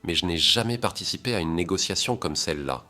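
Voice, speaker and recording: Nervous young cleaner, hesitant and shaky, voiced by a deep-voiced male narrator. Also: expressive, quick pace, sharp tone. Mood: anxious. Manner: hesitant, trembling.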